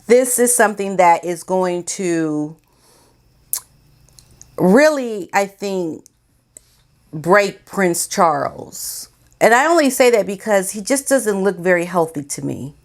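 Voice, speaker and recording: A woman's voice: medium pitch, animated, slow, long pauses. An American woman giving informal opinion commentary as a vlogger, speaking in a sassy, emphatic, colloquial way.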